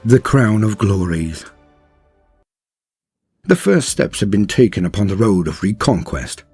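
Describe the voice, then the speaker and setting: A man's voice: fairly low pitch, lively intonation, a little slow, darkish tone, breathy edge, dry recording. A man narrating scripted lore in a solemn, grandiose, dramatic storytelling style. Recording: music under the voice. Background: background music.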